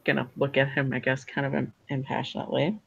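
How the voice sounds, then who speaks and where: A woman's voice: extremely low pitch, little pitch movement, quick pace, gravelly, husky. A woman playing a tabletop game, describing her character's moves in a drawling, self-mocking way. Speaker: player. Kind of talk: tabletop role-play. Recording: dull lo-fi call audio.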